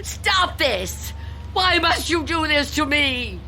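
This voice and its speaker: An actress playing a frightened, suspicious old recluse in a radio drama, distrustful and pleading. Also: female voice, sits high, sing-song, slow, pushed and bright, a little hiss or hum, exaggerated voice. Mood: wary.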